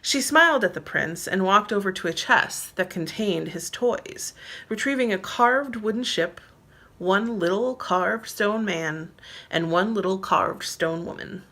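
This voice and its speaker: A woman's voice: medium pitch, measured pace, sharp tone. A woman reading her own novel aloud as narrator, in scripted storybook style.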